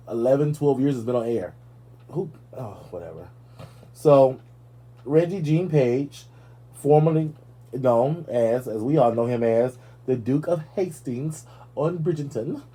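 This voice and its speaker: A man's voice: mid-range voice, unhurried, slightly rough. A man hosting a live talk show, chatting casually and sassily about celebrity gossip, with playful asides. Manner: sassy, animated, playful asides.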